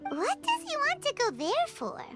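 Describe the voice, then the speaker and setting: Brief: a shrill little game sidekick creature, very high, bouncy, over loud music. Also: female voice, a little slow, exaggerated voice. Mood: curious. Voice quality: squeaky, shrill. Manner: excitable, exclamatory. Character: small floating girl-like companion creature, excitable. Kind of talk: game cutscene voice acting.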